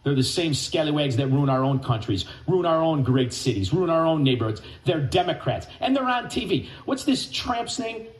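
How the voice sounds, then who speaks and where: A man hosting talk radio, ranting and mocking with name-calling and sudden shouted bursts. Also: fairly high pitch, very animated, normal speed, few pauses, roomy sound. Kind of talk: angry talk radio rant.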